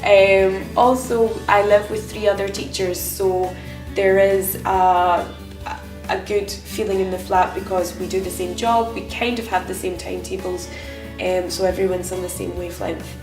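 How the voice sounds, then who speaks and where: A woman's voice: medium pitch, steady tone, normal speed. A woman from Scotland with a Scottish accent, an English teacher speaking in an interview testimonial. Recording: roomy, distant mic, music over it. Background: music.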